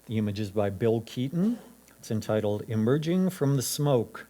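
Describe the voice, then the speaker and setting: A man's voice: mid-range voice, expressive, moderate pace, warm tone. A man announcing titles, names and scores at a club competition.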